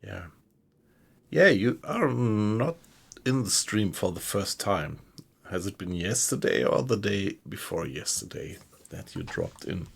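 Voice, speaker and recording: A man with a German accent, chatting with viewers while he plays a submarine game, in a slow, hesitant way. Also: lowish voice, lively intonation, vocal fry, husky. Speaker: game streamer. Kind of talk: casual live-stream commentary. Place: home room at night.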